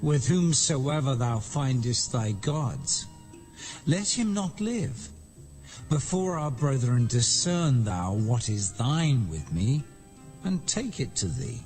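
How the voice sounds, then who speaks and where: Man acting an indignant shepherd, slow and sweeping. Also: medium pitch, very animated, darkish tone, soft background music. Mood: defensive. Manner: declamatory, archaic diction. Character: aggrieved, indignant male shepherd pleading his case. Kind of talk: dramatized scripture acting.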